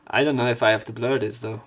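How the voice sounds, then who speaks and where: A man narrating a visual novel aloud as a let's play, reading haltingly and slipping into casual commentary. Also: medium pitch, background noise. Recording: game music behind.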